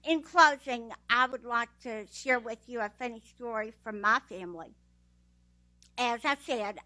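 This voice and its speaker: A woman with a Texas accent giving a slow, heartfelt graduation speech with fillers. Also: on the high side, bright, forceful tone, low-quality recording. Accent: Texan. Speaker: guide dog graduate. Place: ceremony room.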